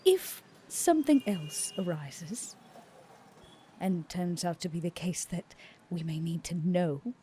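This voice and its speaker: A woman voicing a composed, guarded female vampire, speaking slowly and in a measured way, often half-whispered. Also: lowish voice, expressive, warm tone, slight background noise.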